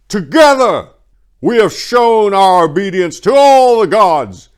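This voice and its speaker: A man voicing a grandiose Persian king in a dramatic story reading, proclaiming in a booming, drawn-out theatrical style. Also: full character voice. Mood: exultant, proclaiming.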